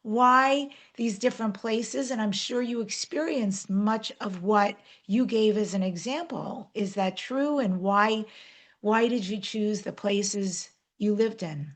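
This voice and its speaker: A woman hosting an interview in a conversational, personal way, leaning on words with expressive emphasis. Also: medium pitch, takes it slowly. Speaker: interview host.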